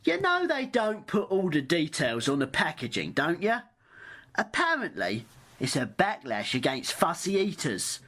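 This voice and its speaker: A woman's voice: low voice, huge pitch swings, unhurried, a bit bright, full character voice. A woman playing a cheeky, hungry, argumentative train passenger in an amateur radio drama, mocking and grumbling. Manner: sarcastic, argumentative.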